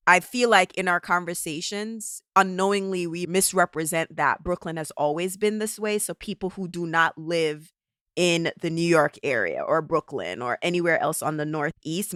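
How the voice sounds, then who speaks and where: A woman's voice: lowish voice, unhurried, a bit bright, clean tone, no room sound, clean studio sound. A woman hosting a podcast interview, chatty and thinking aloud as she talks. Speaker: podcast host.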